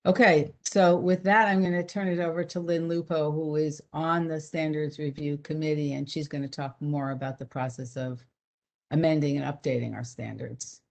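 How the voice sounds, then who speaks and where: A woman's voice: sits low, steady tone, normal speed, vocal fry. A woman program director giving a conversational, explanatory webinar presentation over a video call.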